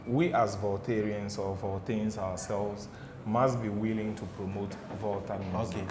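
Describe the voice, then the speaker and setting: A man's voice: fairly low pitch, a little slow, noisy background. A Ghanaian man chatting as an interview guest, telling stories and checking in often with "okay?" and "do you understand?". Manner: animated storyteller, repeats "okay?" and "do you understand?". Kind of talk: casual studio interview. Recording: echoey room with music behind.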